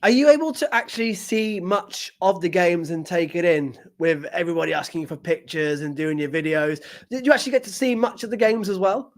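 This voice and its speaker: A man's voice: high-pitched, fairly quick, hardly any pauses. A man from Britain hosting a casual podcast interview, enthusiastic, often restarting phrases. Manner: enthusiastic, repeats words, laughs often. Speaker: podcast host.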